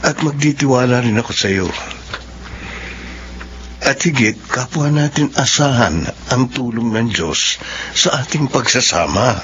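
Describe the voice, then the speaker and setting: A man's voice: medium pitch, expressive, a little slow, pauses often, close to an everyday voice. A man playing an earnest, reassuring young doctor in love in a radio drama, with a Filipino accent. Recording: dull radio sound, faint noise.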